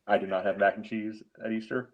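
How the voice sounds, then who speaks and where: A man's voice: fairly low pitch, level tone, a little fast, muffled recording, a crowd in the background. A man co-hosting a casual sports chat, rambling a little with hesitant, wry understatement. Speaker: podcast co-host.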